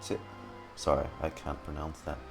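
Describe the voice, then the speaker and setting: A man streaming casual game reaction commentary, excitable and often repeating his words. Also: a bass voice, moderate pace, vocal fry, soft background music.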